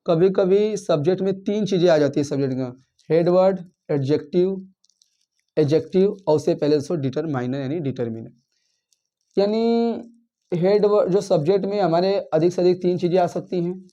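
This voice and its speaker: Male teacher, high voice, repetitive question-and-answer lesson delivery. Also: normal speed, mellow, highs cut off. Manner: addresses listeners as friends, asks and answers his own questions; counts words aloud, repeats and rephrases points. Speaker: school English teacher. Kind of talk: classroom grammar lesson.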